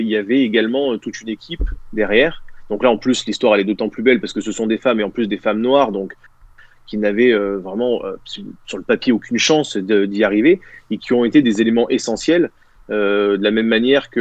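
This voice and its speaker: A man's voice: lowish voice, no room sound, highs cut off. A man in his thirties talking as a guest on a video call from a small room, rambling with many fillers and restarts. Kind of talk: casual video-call discussion. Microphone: video call, webcam.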